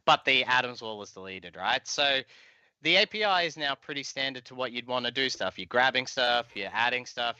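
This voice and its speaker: Bright, forceful man teaching casually. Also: mid-range voice, no echo, lo-fi sound. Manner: informal, explanatory. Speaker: software tutorial instructor. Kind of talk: casual live coding walkthrough. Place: indoor room, video call.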